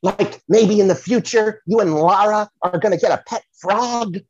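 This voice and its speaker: A man's voice: sits high, moderate pace, darkish tone, muffled recording, lightly acted. A man playing a flamboyant, touchy psychic who promotes himself and rambles effusively, repeating himself, as a comedy podcast guest. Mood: salesy, showy. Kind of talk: comedic audio play banter.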